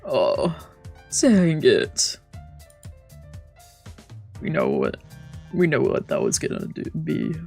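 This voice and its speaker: Woman streamer reading a visual novel, lowish voice, slow, goofy side comments, bit of music behind. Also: huge pitch swings, vocal fry, darkish tone. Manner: stumbles over lines, breaks into playful asides. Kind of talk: let's play reading with casual reactions. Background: faint game music.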